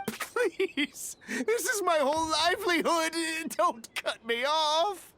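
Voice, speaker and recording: A man playing a cowardly, excitable merchant in a video game, pleading and grovelling in an exaggerated, frantic way. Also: extremely high pitch, takes it slowly, a little hiss or hum, exaggerated voice. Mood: desperate, pleading.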